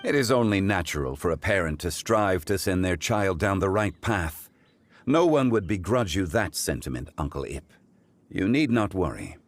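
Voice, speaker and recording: Casting: a courteous scholarly sage for a video game. Low voice, expressive melody, formal and eloquent delivery. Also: male voice, normal speed, dry recording. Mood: gentle, reassuring. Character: Zhongli, calm wise courteous scholarly gentleman. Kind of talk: scripted video game dialogue.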